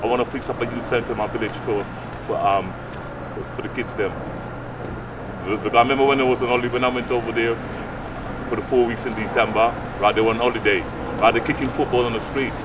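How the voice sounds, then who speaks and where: A man's voice: mid-range voice, steady tone, quick pace. A man, speaking earnestly and repetitively in a casual interview, often tagging phrases with "right?". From Guyana. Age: late 60s. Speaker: youth fitness coach and community mentor. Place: outdoors on an urban street by brick flats. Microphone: handheld microphone held at chest. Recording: distant outdoor mic, clear street noise.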